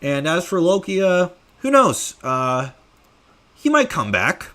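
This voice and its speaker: Young male creator, slow offhand Q&A delivery, voice swooping up and down, fairly high for a man, lots of "uh"s. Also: huge pitch swings, fairly bright tone. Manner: rambling with many fillers, offhand. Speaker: creator answering fan questions. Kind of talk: casual live Q&A.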